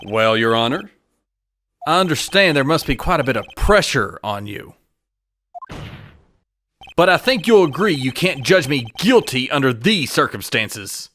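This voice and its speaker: A man playing an earnest, easily flustered young defense lawyer in an expressive game-fandub style. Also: medium pitch, unhurried, long pauses, slight background noise. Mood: insistent. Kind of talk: game fandub courtroom drama. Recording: music behind the voice.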